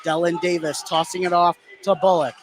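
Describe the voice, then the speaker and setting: High-voiced man calling live basketball. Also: moderate pace, over music. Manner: excitable, follows the action in short running phrases. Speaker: play-by-play sports commentator. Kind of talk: live high school basketball play-by-play commentary.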